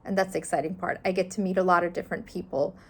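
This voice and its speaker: Gravelly earnest woman journalist, middle-aged. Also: lowish voice, flat delivery, very fast. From Afghanistan. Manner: earnest, thoughtful, with fillers. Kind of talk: reflective interview answers.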